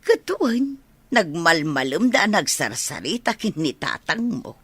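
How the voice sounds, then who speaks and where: A doting older village mother in a radio drama. Her lowish voice is husky and swings expressively, with an Ilocano accent and an easygoing manner. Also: big pitch swings, slow pace, no room sound, full character voice.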